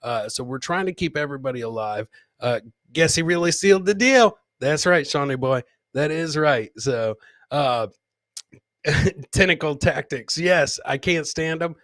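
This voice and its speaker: A man's voice: a little high, lively intonation, unhurried, fairly bright tone, dry recording, clean studio sound. A man hosting a comedy podcast with casual, jokey live commentary, often repeating phrases for effect. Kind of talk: casual comic live-stream commentary, reading chat comments aloud.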